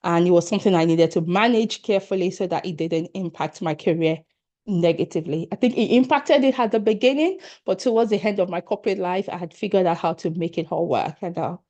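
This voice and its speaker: Casting: middle-aged Nigerian-accented woman, leadership coach, mellow tone, earnest and encouraging, webinar over a laptop mic. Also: lowish voice, a little fast. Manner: earnest and encouraging, addressing attendees directly. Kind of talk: online workshop presentation.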